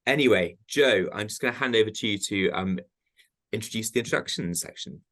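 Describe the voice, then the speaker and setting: Male group organiser, casual update delivered with plenty of ums, slightly low voice. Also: fairly quick, a bit bright. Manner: chatty, many ums. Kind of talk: meeting update. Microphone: computer mic on a video call.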